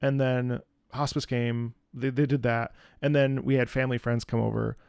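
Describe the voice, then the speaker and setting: Man, medium pitch, frequent vocal fry, reflective and halting, casual reaction host, dry close recording. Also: flat delivery, darkish tone, no room sound. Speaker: host, opera singer reacting to a game.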